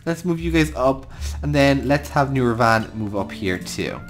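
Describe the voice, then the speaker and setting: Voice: mid-range voice, lively intonation, moderate pace, faint music behind. An Irish gaming host giving improvised storytelling commentary over a game, talking affectionately to the creatures. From Ireland.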